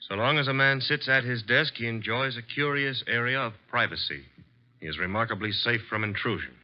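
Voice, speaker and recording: A man playing a brisk, wry detective's assistant in a vintage radio mystery, matter-of-fact in delivery. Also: mid-range voice, natural voice. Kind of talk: 1940s-50s radio mystery acting. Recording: dull vintage radio recording.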